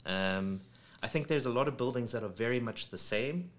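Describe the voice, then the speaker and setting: A man's voice: mid-range voice, normal speed. A man from South Africa talking casually in an interview, rambling with many ums and restarts. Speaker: creative studio head, guest. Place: home studio. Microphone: studio microphone.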